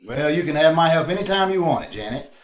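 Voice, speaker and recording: A man playing an easygoing, obliging sleuth in an old-time radio drama, speaking in an affable, slightly halting way. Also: a little high, expressive, echoey room, natural voice. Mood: affable, flattered.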